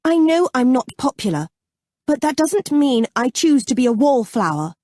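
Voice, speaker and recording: A woman plays a proper young society lady in an audiobook romance. Her voice is soft-edged and mellow, slightly husky, and she sounds proud, earnest and easily indignant, with an anxious hesitancy. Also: medium pitch, sing-song, a little slow, no echo, studio quality.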